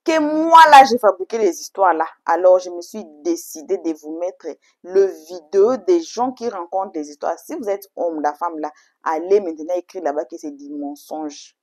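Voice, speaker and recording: A woman's voice: fairly low pitch, lively intonation, moderate pace, darkish tone. A woman whose first language is English, chatting to viewers as a channel host in an emphatic, repetitive way. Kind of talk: casual, chatty introduction to story videos.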